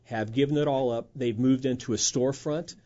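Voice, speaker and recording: A man speaking as a guest minister in a measured way, with hesitant fillers. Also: medium pitch, fairly even intonation, mellow, lo-fi sound. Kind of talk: conversational discussion.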